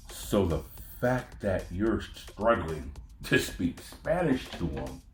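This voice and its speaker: A man's voice: low-pitched, darkish tone, reverberant. A man from Northern California hosting a podcast, talking casually in a blunt, profane, conversational rant. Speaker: podcast host. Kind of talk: casual monologue.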